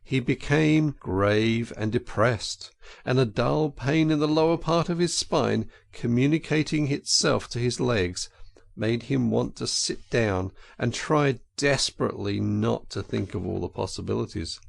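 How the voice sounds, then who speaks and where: A man narrating an audiobook in an expressive, theatrical and deliberate storytelling style. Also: medium pitch, creaky.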